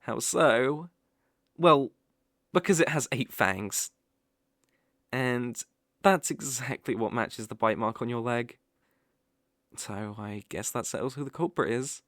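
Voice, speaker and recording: A British man playing a sly, possessive young male kitsune fox spirit in an ASMR roleplay, soft-spoken and coaxing with playful hesitations. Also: medium pitch, takes it slowly, long pauses, no room sound, studio quality. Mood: gentle, inquiring. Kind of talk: ASMR roleplay, acted directly to the listener. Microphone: close microphone, dry.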